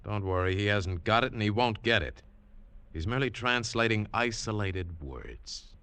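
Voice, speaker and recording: Low-voiced, dramatic hardboiled adventurer. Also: male voice, animated, normal speed, a bit bright, smooth, no room sound. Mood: wary, cool. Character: tough, wary American adventurer narrating his treasure hunt. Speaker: lead actor and narrator. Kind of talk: 1940s radio drama acting, hardboiled narration. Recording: old 1940s radio broadcast, music behind.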